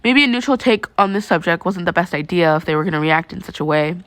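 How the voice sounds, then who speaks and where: A woman's voice: lowish voice, lively intonation, quick pace, a bit bright, dry recording. A woman narrating fan fiction in the style of an amateur audiobook.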